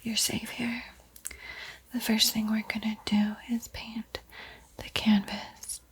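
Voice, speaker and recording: A woman giving an ASMR art tutorial in a breathy whisper, with a soft, unhurried, playfully eerie and teasing manner. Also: fairly high pitch, monotone. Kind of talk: whispered ASMR painting tutorial with spooky roleplay.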